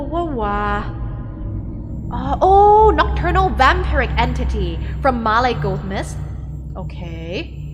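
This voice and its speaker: A slightly high-voiced woman with a Thai accent chats as a streamer, reading out viewer messages. She rambles easily, filling gaps with "like" as she works through ideas. Her voice carries a long reverb tail, with soft music underneath. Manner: chatty, filler-heavy, thinks aloud with frequent "like".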